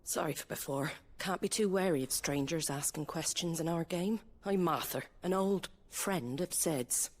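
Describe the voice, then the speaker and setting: A woman's voice: low voice, normal speed, few pauses. A woman playing a wary, plain-spoken landlady in a fantasy game, with a working-class regional English accent and a blunt, folksy manner. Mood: apologetic, frank. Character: wary, plain-spoken innkeeper landlady, no-nonsense but helpful. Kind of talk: dramatic video game cutscene acting.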